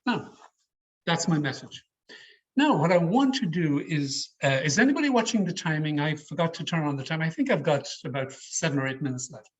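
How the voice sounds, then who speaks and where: A man leading an online public speaking workshop in a deliberate, emphatic, varied delivery, as a trainer addressing his learners. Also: fairly high pitch, animated, breathy edge. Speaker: speech trainer giving an educational session. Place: online video call. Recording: slightly muffled, lo-fi video call audio.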